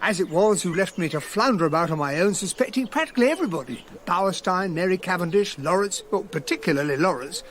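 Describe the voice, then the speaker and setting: An actor playing an honest, gullible English captain in a radio drama, with an upper-class English accent and an earnest, puzzled, mildly indignant manner. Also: male voice, high voice, animated, fast talker, hardly any pauses, fairly bright tone, slight background noise. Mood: piqued, indignant.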